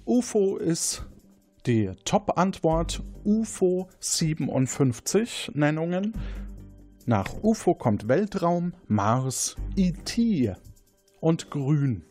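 A man's voice: on the high side, sing-song, takes it slowly, darkish tone, faint music behind. A man hosting a quiz, moderating a game show and explaining questions and points.

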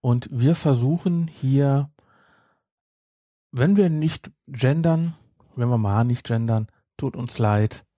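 Casual podcast host, male, relaxed mellow delivery that rambles and self-corrects, very dry recording. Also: medium pitch, slow, no room sound.

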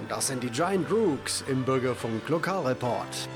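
A man's voice: medium pitch, lively intonation, measured pace, loud music behind the voice. A man, hosting a local radio report and interviewing people.

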